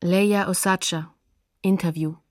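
A woman's voice: fairly low pitch, fairly even intonation, unhurried, darkish tone, no echo, clean studio sound. A woman announcer reading scripted, factual documentary credits.

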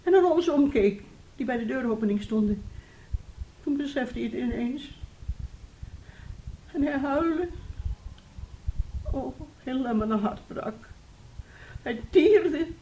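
A troubled, motherly aunt in a radio drama. Her voice sits fairly high, and she soothes and recounts with halting, emotive phrasing. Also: expressive, dark tone, a little hiss or hum, low-quality recording. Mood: anguished. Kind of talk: Dutch radio play acting.

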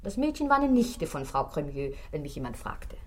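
Eine Schauspielerin spielt in einem deutschen Hörspiel eine geschwätzige, ausweichende Concierge, die zögernd und vertraulich redet. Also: female voice, deep voice, big pitch swings, moderate pace. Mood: evasive, confiding. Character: gossipy, evasive, money-minded Parisian concierge woman. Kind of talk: German radio play acting.

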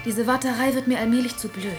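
Stubborn, irritated woman shopper played by an actress, fairly high voice, music underneath. Radio drama. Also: steady tone, fast talker, close to an everyday voice. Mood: annoyed, stubborn. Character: impatient, stubborn female supermarket customer. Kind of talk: radio play dialogue.

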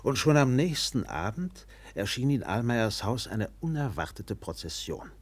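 An actor playing a worldly, blunt steamship captain who also serves as storyteller in a German radio play. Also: male voice, medium pitch, very animated, moderate pace. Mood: measured storytelling. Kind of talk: German radio play, dialogue and literary narration.

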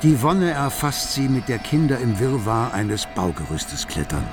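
An actor playing the wryly observant narrator of a literary radio play about city life. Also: male voice, medium pitch, normal speed, natural voice. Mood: wry narration. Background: music.

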